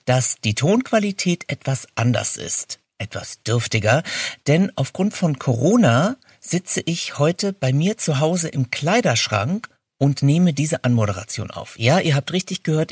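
A man speaks as a podcast host in an informal, chatty way. His voice is slightly husky and of medium pitch, recorded very dry with hardly any room around it. He talks directly to whoever is listening. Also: no echo. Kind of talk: chatty podcast introduction and interview.

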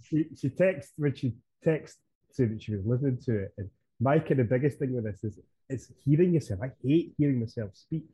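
Dark husky Scottish man chatting. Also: mid-range voice, animated, a little fast, dry recording, low-quality recording. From Scotland. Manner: rambling, chatty, laughs easily. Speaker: podcast co-host. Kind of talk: casual chat.

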